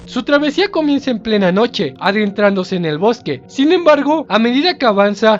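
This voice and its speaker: A man narrating a scripted horror story as its storyteller, in a slightly lo-fi recording. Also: sits high. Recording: slightly dull, lo-fi sound. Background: background music.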